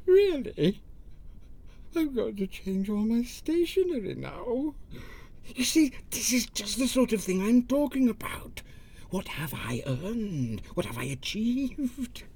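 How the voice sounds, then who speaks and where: An actor playing an anxious, self-doubting village mayor with a British accent in a comic audio drama, plaintive, hesitant and rambling. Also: male voice, sits high, big pitch swings, slow, vocal fry, a little mellow, slightly rough, exaggerated voice.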